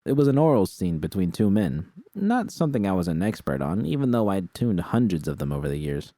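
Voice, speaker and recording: A man narrates a first-person story in a low-pitched voice. The tone is dark and mellow, and the recording is dry and close, with no room sound. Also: big pitch swings, fairly quick, dry recording. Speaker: audiobook narrator.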